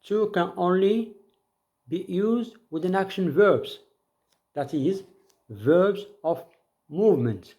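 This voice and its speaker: A man teaching an English grammar lesson, reading each example sentence and then repeating it in a slow, deliberate way. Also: sits high, mellow, highs cut off.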